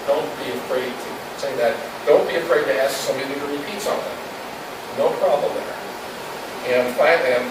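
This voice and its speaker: A man giving an informal, reassuring, rambling instructional talk to a club group. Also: medium pitch. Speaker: presenter at an amateur radio club. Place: indoor meeting room with audience. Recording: very echoey, noisy room, distant mic.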